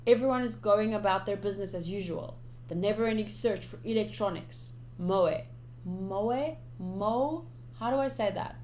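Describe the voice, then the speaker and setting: A woman's voice: mid-range voice, slight background noise. A woman streamer reading visual novel text aloud, with casual commentary of her own. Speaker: game streamer. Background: game music.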